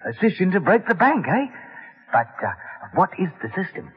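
Professor sidekick, vintage radio drama: very husky, highish, curious, flustered, bumbling; phone-like sound. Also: male voice, sing-song, normal speed, heavily acted. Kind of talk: 1940s-50s radio drama acting. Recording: muffled old radio recording, long reverb.

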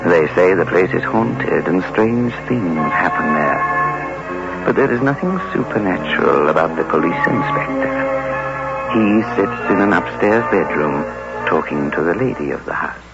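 An actor playing a sinister, ghoulishly inviting horror host, narrating slowly and theatrically in old radio style. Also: male voice, sits low, animated, creaky, full character voice. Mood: eerie, foreboding. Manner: slow, theatrical, ominous. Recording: muffled old radio recording. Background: loud dramatic music.

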